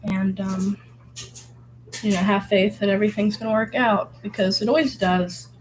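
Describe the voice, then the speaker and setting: Hoarse vlogger woman, mid-pitched, loose rambling self-talk with lots of fillers, faint noise behind. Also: fairly even intonation, moderate pace, rough recording. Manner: rambling, filler-heavy, musing. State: hoarse from illness. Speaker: vlogger painting. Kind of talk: casual reflective monologue.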